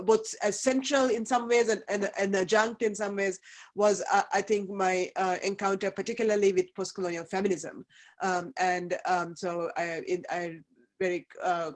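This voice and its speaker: A person in their fifties from Sri Lanka, giving a reflective panel talk over a video call, halting and thoughtful with many fillers and restarts. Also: medium pitch. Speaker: academic panelist.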